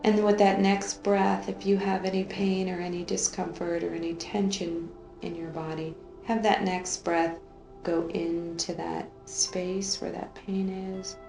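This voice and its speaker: A woman leading an unscripted guided meditation in a soothing, drawn-out way, gently repeating phrases. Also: on the low side, flat delivery, gravelly. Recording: roomy echo, faint music underneath.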